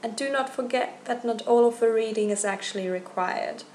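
A woman's voice: a little high, moderate pace, darkish tone, echoey room, a little hiss or hum. A woman in her twenties, a student guest on a scripted panel, speaking in a level-headed, practical way. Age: 20s.

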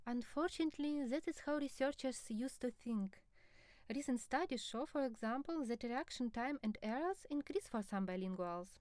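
A woman speaking as an expert co-presenter in a scripted educational dialogue, measured, formal and explanatory. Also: sits high, animated, a little mellow, no echo.

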